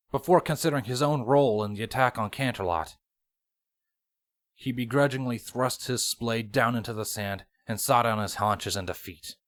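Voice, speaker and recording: A man's voice: medium pitch, fairly bright tone. A man reading fan fiction as scripted audiobook narration, in a dramatic storytelling manner.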